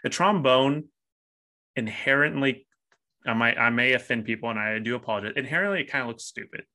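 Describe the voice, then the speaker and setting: A man in his thirties co-hosting a podcast, chatting casually, animated and quick to laugh. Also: mid-range voice. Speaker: podcast co-host. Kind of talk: casual chat.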